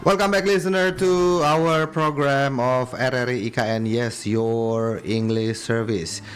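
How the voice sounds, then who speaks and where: A man's voice: medium pitch, steady tone, measured pace, soft background music. A man with an Indonesian accent hosting a bilingual radio interview, friendly and hesitant, with many fillers and restarts. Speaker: radio host.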